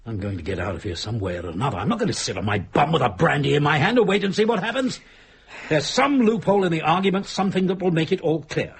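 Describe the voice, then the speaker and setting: Husky, agitated trapped man. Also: on the high side, expressive, fast talker, heavily acted. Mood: agitated, demanding. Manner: urgent, argumentative, pressing questions. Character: agitated, insistent husband trapped in a haunted house. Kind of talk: dramatic dialogue acting, audio thriller.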